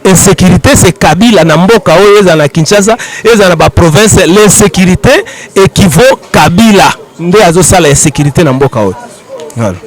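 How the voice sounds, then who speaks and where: Congolese male, high voice, argumentative political commentator who leans on rhetorical questions. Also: a little fast. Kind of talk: impassioned street political commentary.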